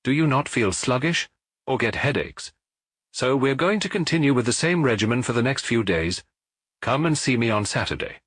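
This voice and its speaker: Man voicing reassuring spa doctor, brisk, husky, dry close sound. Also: medium pitch, animated, a bit bright, no echo, pitched above the performer's own voice.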